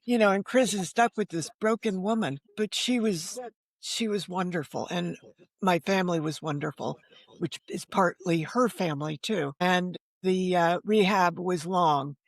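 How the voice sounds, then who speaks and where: An older woman talks as an interview guest, her voice creaky with fry and recorded dry and close. She tells personal stories frankly, with wry, self-deprecating asides and bits of quoted dialogue. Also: lowish voice, unhurried, dry recording. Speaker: podcast guest, novelist. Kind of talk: candid personal storytelling in an interview.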